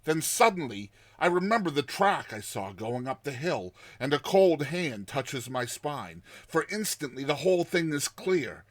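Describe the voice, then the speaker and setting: A man voicing a terrified man telling his nightmare in a dramatized story, with tense pauses, hushed passages and explosive outbursts. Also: a little high, very animated, no echo. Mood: dread. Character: terrified man recounting his nightmare of a lurking giant serpent.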